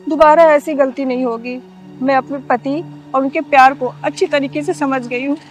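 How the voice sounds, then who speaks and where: A woman's voice: high-pitched, lightly acted. A woman playing a remorseful young wife in a melodramatic short film, pleading earnestly and respectfully for forgiveness.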